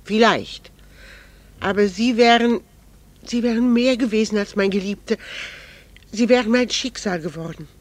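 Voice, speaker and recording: Casting: a middle-aged wife of quiet, renounced passion, composed and earnest, formal diction, vintage radio play. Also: female voice, mid-range voice. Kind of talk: 1960s German radio drama acting.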